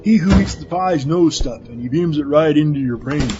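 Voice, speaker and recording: A man's voice: fairly high pitch, normal speed, dull audio, background noise, lightly acted. A man playing a goofy stoner man-child in a comedic audio drama, rambling like a spacey slacker. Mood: dazed devotion.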